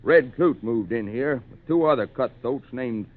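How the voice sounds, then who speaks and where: Thin, narrow-band vintage radio audio of a man playing a knowing country doctor. He speaks at a middle pitch, measured and grave, like a cautioning friend in a 1940s radio drama. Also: natural voice. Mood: grave, explaining.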